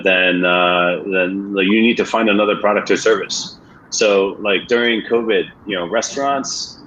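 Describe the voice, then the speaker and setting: A man's voice: sits low, a bit bright, a little hiss or hum. A man with an American accent, speaking as a venture investor on a webinar panel in a blunt, colloquial way with many filler sounds. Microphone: video call. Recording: muffled video-call audio.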